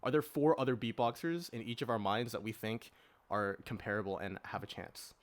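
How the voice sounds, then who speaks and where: A man's voice: lowish voice, a little fast. A man hosting a casual panel discussion, talking in a jokey, slangy way. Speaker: podcast host.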